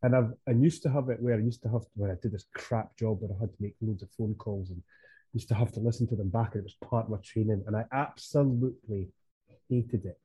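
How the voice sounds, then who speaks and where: A man's voice: on the low side, fast, dark tone, husky, dry recording, rough recording. A Scottish man co-hosting a podcast, chatting casually and rambling between topics. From Scotland. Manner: rambling, chatty, laughs easily. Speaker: podcast co-host. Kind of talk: casual chat.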